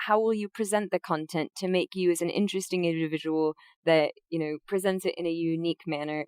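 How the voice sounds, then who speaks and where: A woman guest, a voice actress and streamer, chatting casually on a podcast in a playful, giggly way that leaps into high excited tones. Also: smooth, no room sound, studio quality.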